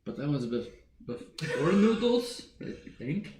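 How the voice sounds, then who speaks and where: A man playing a sleepless, evasive cyberpunk hacker with an improvised mock Russian accent, talking haltingly in a tabletop game. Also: on the high side, very animated, echoey room, background noise. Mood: confused, defensive.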